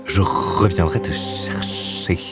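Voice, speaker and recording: A man voicing a gentle, courtly serpent prince in a fairy-tale cartoon, speaking formally and solemnly. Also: low-pitched, slow pace, over loud music. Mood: solemn, tender.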